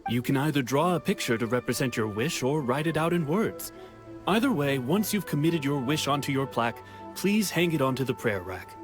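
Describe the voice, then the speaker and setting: Guy doing a friendly welcoming game shopkeeper, very lively intonation, polite, bit of music under it. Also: mid-range voice, brisk, close to an everyday voice. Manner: courteous, vendor-like. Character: welcoming, courteous male festival stall keeper. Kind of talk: video game character acting. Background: faint music.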